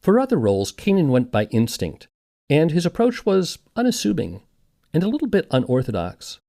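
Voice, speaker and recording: A man's voice: a little high, wildly swooping pitch, moderate pace, gravelly, dark tone. A man narrating a scripted documentary podcast as its host and interviewer.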